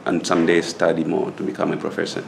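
A young man from Zambia, a master's student, answering mock speaking-test questions with frequent hesitant pauses. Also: low voice, completely flat delivery, vocal fry, a little hiss or hum. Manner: hesitant, many filler pauses. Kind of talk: unscripted interview answers.

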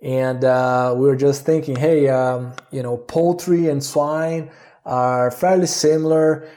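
A man's voice: medium pitch, fairly even intonation, slow pace, mellow. A man hosting an interview in a casual, loosely phrased, conversational way. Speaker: podcast host, interviewer.

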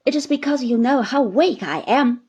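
A woman voicing an older, proud aunt who speaks in emotional, reproachful pleading, in a breathy voice. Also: mid-range voice, animated, a little slow, muffled recording, exaggerated voice. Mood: indignant.

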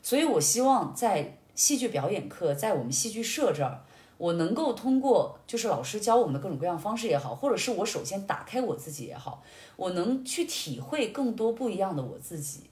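A woman's voice: mid-range voice, big pitch swings, fairly quick, a little mellow. A young woman chatting reflectively and articulately, laying out her thoughts in order as a podcast host. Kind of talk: reflective casual chat. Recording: echoey, roomy sound.

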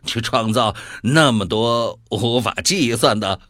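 A man playing a bored, mocking devil in an audio drama, with a theatrical, drawn-out delivery. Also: medium pitch, fairly bright tone, no room sound, studio quality. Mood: sly, scheming. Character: mocking, bored male devil who favours death.

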